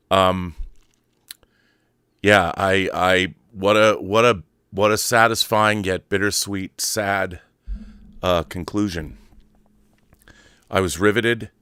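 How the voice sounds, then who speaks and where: A man's voice: lowish voice, sharp tone, smooth, no room sound, studio quality. A man hosting a solo podcast, talking casually and reading aloud with a theatrical, wordy showman's manner. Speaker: podcast host.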